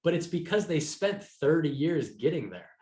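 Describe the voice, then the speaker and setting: A man's voice: on the high side, big pitch swings, normal speed, warm tone. A man in his thirties talking casually and emphatically as a podcast guest, with informal, youthful phrasing. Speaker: podcast guest, entrepreneur and author. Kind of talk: casual interview conversation. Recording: roomy, echoing sound.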